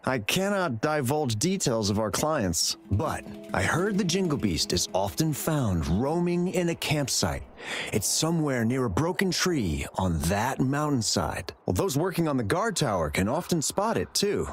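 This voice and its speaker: An actor playing a stern, uneasy courier in a video game, speaking in a formal, guarded way. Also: male voice, medium pitch, very animated, a little slow, soft background music. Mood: stern, wary. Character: stern, uneasy male courier warning of danger.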